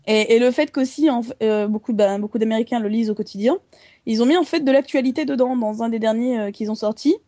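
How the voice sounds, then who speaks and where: A woman's voice: fairly high pitch, fast talker, warm tone, no echo. A woman co-hosting a casual group podcast, rambling with many fillers. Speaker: podcast co-host.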